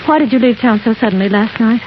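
An actress playing an anxious, insistent young fiancée, urgent and questioning, in a 1950s radio drama. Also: female voice, a little high, quick pace, lightly acted. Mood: pressing. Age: young adult. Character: insistent, anxious fiancée in her early twenties. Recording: dull, very noisy 1950s radio recording.

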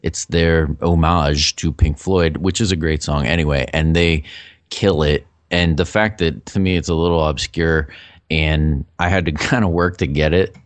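Low-voiced man chatting. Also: fairly even intonation, normal speed, dry recording. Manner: chatty, rambling with restarts. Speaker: podcast co-host. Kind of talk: casual chat.

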